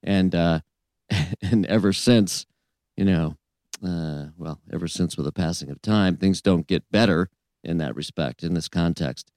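A man's voice: sits low, measured pace, darkish tone, dry recording, clean studio sound. A man in his forties hosting a morning talk show, telling a story in an easy conversational way. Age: 40s. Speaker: radio show host.

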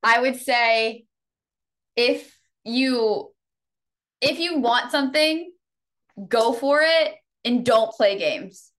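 A woman's voice: fairly high pitch, extremely slow, long pauses, a bit bright. A woman in her twenties, a guest on a podcast, chatting casually in a laid-back, frank way. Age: 20s.

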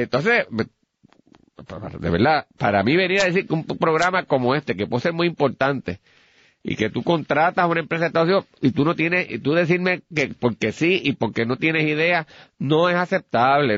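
A man with a Puerto Rican accent arguing a point deliberately in repeated phrases as a political commentator, recorded with dull radio sound. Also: fairly high pitch, animated, fairly bright tone, dry recording.

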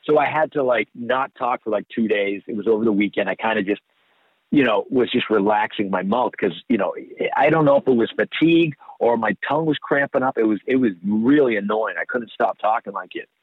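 A man's voice: on the low side, a little fast, dry recording. A Boston-accented man chats casually and rambles about his work, restarting sentences often. His voice comes through a thin, narrow phone line and sounds close and dry. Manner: chatty and rambling, with many restarts. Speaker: voice actor guest. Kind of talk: casual interview talk.

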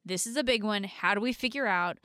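A woman's voice: mid-range voice, fairly quick, sharp tone, dry recording, clean studio sound. A woman co-hosting a retirement radio show, reading the introduction and asking the host questions.

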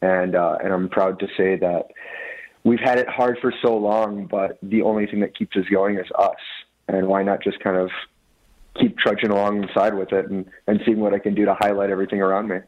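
A man's voice: lowish voice, flat delivery, brisk. A man raised near Detroit, a food business owner, talking earnestly as a radio interview guest with many restarts. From Mexico City, raised in Dearborn, Michigan. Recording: tinny phone line on radio.

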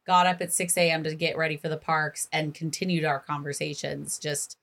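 Podcast co-host, woman, slightly low voice, hoarse and raspy, upbeat casual chat. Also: little pitch movement, brisk, a bit bright. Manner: upbeat, agreeable, often says yes. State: hoarse from losing her voice.

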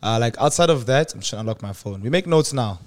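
Young male podcast host, quick, jokey delivery. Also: mid-range voice, animated. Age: 20s. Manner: loose, joking, laughs often. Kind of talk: casual podcast chat.

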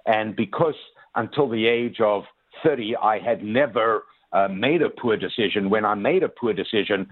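A man in his sixties, a motivational speaker, talks about his own past on a radio show. His voice sits on the low side and comes through a thin, tinny phone line. He is earnest and pauses often on "uh". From South Africa. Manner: earnest, many "uh" fillers, addresses the host by name. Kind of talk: radio interview, personal storytelling.

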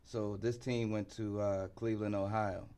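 A middle-aged man speaking as a guest in a sports interview, often pausing mid-thought. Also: lowish voice, level tone, dark tone, clean tone. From New York City, United States. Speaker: interview guest, basketball team insider and former coach.

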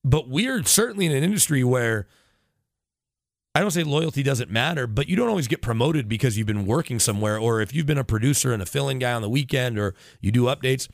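A man's voice: mid-range voice, lively intonation, quick pace, vocal fry, dry recording, clean studio sound. A man talking sports casually as a radio broadcaster guest, explaining in long detail with tangents and self-corrections. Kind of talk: casual sports talk interview.

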